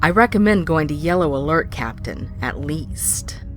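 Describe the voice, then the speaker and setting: A woman's voice: low voice, animated, moderate pace, soft background music. A woman playing a suspicious, blunt starship first officer in a science-fiction audio drama, urgent and wary.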